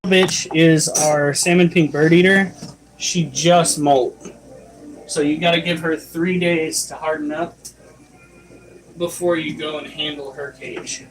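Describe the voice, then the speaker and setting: A man's voice: on the high side, steady tone, unhurried, low-quality recording. A man hosting a casual livestream, chatting and explaining how to care for his pets while answering chat. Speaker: livestream host and pet keeper.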